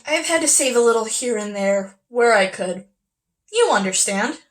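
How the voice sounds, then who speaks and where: A woman's voice: fairly high pitch, expressive, normal speed, lightly acted. A woman performing a stage monologue as a proud, wistful young Victorian housewife, earnest and confiding. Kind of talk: stage monologue from a classic play.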